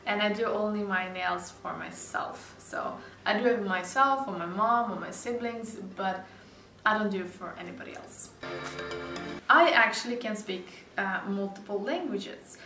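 A woman's voice: mid-range voice, measured pace, bright, forceful tone, soft background music. A young woman from Serbia, speaking English as a second language, chatting casually to the camera in a living room with many likes and little laughs. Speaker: beauty vlogger. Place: bright living room with sofa and plants. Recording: roomy echo, slightly distant mic.

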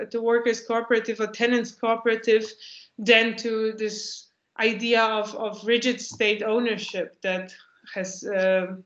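A woman's voice: on the high side, a bit bright. A woman, giving a thoughtful lecture answer on a video call and often restarting her phrases. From Poland. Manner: thoughtful, restarts phrases while searching for words. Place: home room with bookshelves. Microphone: laptop mic on video call. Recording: echoey, dull lo-fi video call.

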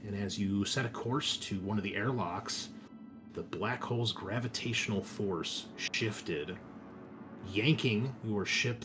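A man game master recapping a tabletop session in a casual, conversational way, halting at times and leaning on descriptive words. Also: lowish voice. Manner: casual, sweary, conversational asides. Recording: clear background noise, room ambience.

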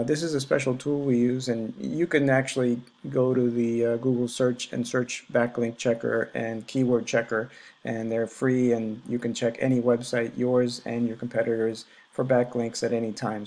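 A man working as a web designer and marketer, explaining search rankings in a casual, explanatory way with many filler pauses over a screen recording. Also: medium pitch, a little mellow. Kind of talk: screen-share website review.

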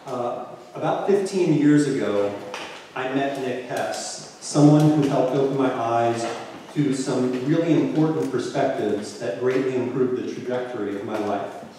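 Mellow man introducing a speaker. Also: medium pitch, a little slow, a little hiss or hum. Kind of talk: reading a short introduction. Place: small hall, indoors. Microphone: far camera, room audio. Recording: echoey hall from far off.

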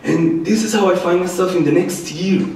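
Echoey, high-pitched Israeli man telling his story. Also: moderate pace, gravelly, darkish tone. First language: Hebrew. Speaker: activist speaker, former soldier. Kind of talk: personal storytelling to an audience. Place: large indoor church. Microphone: distant microphone. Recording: echoey church, distant mic, faint noise.

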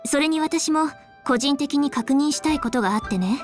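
A woman's voice: high-pitched, moderate pace, close to an everyday voice. A woman voicing a proud, refined, dutiful young lady official in an anime game, with poised feminine speech. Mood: composed, earnest. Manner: poised, formal feminine speech, flustered when teased. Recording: faint music behind.

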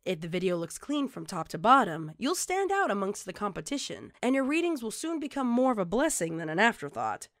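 A woman narrates a scripted how-to tutorial in a very lively, varied intonation. She speaks straight to the listener as an instructor. The recording is dry and close, with no room around the voice. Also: mid-range voice, no echo. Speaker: tutorial presenter.

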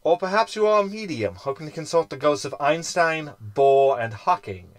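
A man's voice: fairly high pitch, expressive, normal speed, dry recording, lightly acted. Man playing a scheming, swooping sarcastic hologram. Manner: arch, wordy, sardonic. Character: scheming, sarcastic male hologram crewman, self-satisfied. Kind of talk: science fiction comedy audio drama acting.

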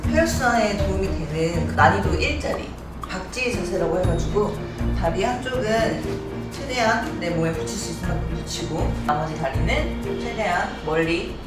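A woman's voice: on the low side, lively intonation, moderate pace. A young woman, a stretching instructor, patiently explaining calf stretches step by step while demonstrating them. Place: bright living room with wooden floor. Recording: echoey room, distant mic, loud music bed.

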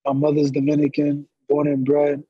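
A man's voice: a little high, monotone, normal speed, breathy edge, no echo. A young man, a boxer being interviewed, talking casually and repeating himself over earbuds on a video call. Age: young adult. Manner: easygoing, repeats phrases. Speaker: boxer guest. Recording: dull lo-fi call audio.

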